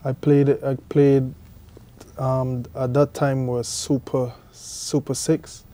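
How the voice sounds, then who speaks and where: Halting young cricketer from Guyana. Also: male voice, medium pitch, mellow. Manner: halting, repeats words often. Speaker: cricketer guest. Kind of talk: interview answers.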